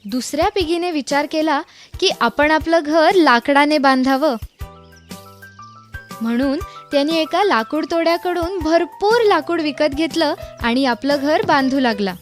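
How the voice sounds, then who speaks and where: A female storyteller reads a children's tale in a high voice. It is scripted narration, with soft music playing behind her. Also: expressive, measured pace. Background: background music.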